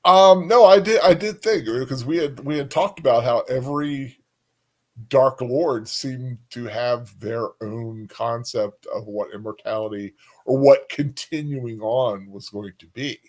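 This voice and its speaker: A man's voice: mid-range voice, unhurried. A man co-hosting a casual discussion, speaking hesitantly as he thinks aloud. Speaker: co-host.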